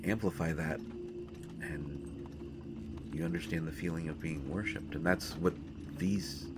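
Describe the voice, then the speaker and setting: A man playing a brooding young prince in a fantasy audio drama, speaking to the listener in a halting, earnest, dramatic way. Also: very deep voice, very noisy. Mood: pensive, subdued. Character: brooding young male prince at war with his father. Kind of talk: fantasy roleplay audio acting addressed to the listener. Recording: music behind the voice.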